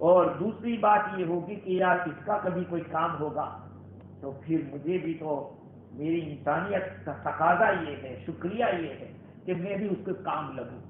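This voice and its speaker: High-voiced male preacher, heavy echo. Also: unhurried, narrow, tinny audio. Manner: exhortative, rhetorical questions, swelling and dropping emphasis. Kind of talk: Friday sermon. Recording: band-limited, very echoey hall, faint noise.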